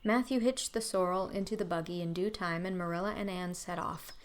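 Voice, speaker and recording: A woman's voice: fairly low pitch, fairly even intonation, brisk. A woman reading scripted audiobook narration of a story.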